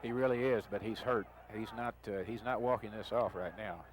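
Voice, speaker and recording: An older man giving calm, measured color commentary on a radio football broadcast. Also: lowish voice, low-quality recording. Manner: calm, measured analysis with short reactions. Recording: radio broadcast with crowd behind.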